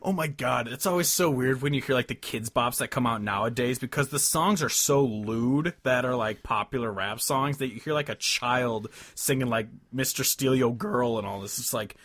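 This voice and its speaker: A man's voice: mid-range voice, normal speed, few pauses, a bit bright, no room sound. A man co-hosting a podcast, chatting casually about music and swearing freely. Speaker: podcast co-host.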